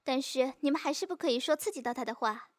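A woman's voice: sits high, quick pace, dry recording, clean studio sound. A woman playing a kindly, businesslike nurse in an audio drama, polite and chatty. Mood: polite, instructive. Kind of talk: Chinese audio drama acting.

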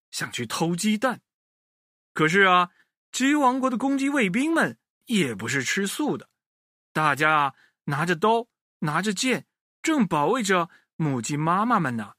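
Male storybook narrator for kids: unhurried, bright, highly expressive delivery. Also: dry recording, clean studio sound. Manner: expressive, addressing child listeners directly. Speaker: children's storyteller. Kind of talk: animated storybook narration for young children.

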